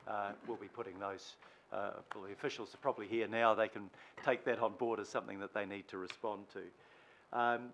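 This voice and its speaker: Low-voiced man chairing a meeting. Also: steady tone, brisk, a bit bright, slight background noise, rough recording. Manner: hesitant, stammering restarts. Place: council chamber.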